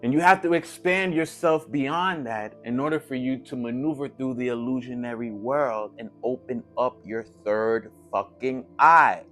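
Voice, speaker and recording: A man's voice: medium pitch, normal speed, hardly any pauses, fairly bright tone. Emphatic, streetwise man teaching over music. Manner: streetwise, slangy, profane, emphatic, repeats phrases for effect. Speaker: spiritual teacher reading and commenting on an esoteric book. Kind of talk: impassioned informal lecture with read-aloud passages.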